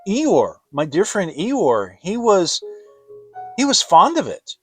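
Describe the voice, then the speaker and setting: A man voicing a gentle, humble, slow-witted toy bear in a storybook, plaintive and hesitant. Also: sits high, wildly swooping pitch, soft background music, voice pushed up, full character voice. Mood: solemn, sad.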